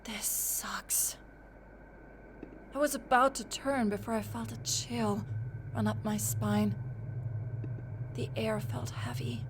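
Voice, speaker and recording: A woman's voice: medium pitch, fairly even intonation, slow pace, pauses often, whispered. A woman giving suspenseful, atmospheric first-person narration of a visual novel, acting as a college student. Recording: loud music under the voice. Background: prominent background music.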